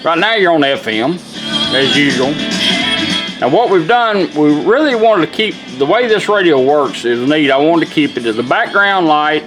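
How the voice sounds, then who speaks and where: A man's voice: a little high, lively intonation, moderate pace, over music, low-quality recording. A man giving an informal product demonstration in a folksy, plainspoken way. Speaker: presenter demonstrating a restored car radio.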